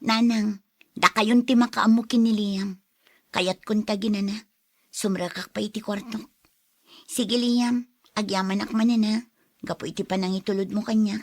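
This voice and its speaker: A woman's voice: medium pitch, fairly even intonation, moderate pace, pauses often, darkish tone, no echo, clean studio sound, close to an everyday voice. A woman acting a troubled young daughter in love in a radio drama. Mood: earnest.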